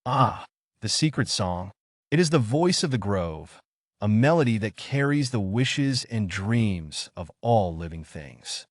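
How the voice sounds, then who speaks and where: A wise old guardian of an enchanted forest, acted out by a male storyteller, speaking gently in a low register. His delivery is solemn and kindly, rising and falling expressively in storybook fashion. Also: big pitch swings, unhurried, no echo, studio quality. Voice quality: soft, gentle.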